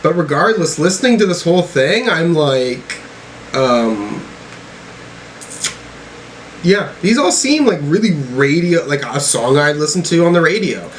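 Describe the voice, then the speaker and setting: A man giving casual, off-the-cuff music commentary as a reviewer, in a halting, informal way with some swearing. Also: a little high, big pitch swings, a little hiss or hum, low-quality recording.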